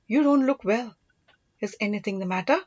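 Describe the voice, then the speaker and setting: A woman's voice: mid-range voice, normal speed, darkish tone, dull audio, lightly acted. A woman voicing a devoted, earnest young prince and older brother in an audiobook, reassuring and teasing.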